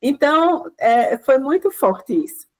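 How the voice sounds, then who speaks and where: Chatty woman lecturing, fairly high. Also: big pitch swings, unhurried. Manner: rambling explanation, frequent "né" tags and restarts. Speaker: guest lecturer, project coordinator. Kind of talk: online class talk.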